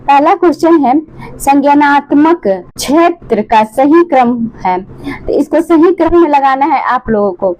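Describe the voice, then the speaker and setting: Teacher, female, hoarse, high pitch, brisk answer-by-answer exam review. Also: expressive, a little mellow, rough recording. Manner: addresses students fondly, reads questions then answers.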